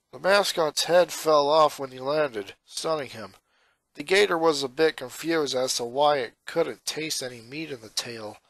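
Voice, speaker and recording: A man's voice: medium pitch, a bit bright, no room sound. A man reading a story aloud as a scripted narrator. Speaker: fan fiction reader, narrator.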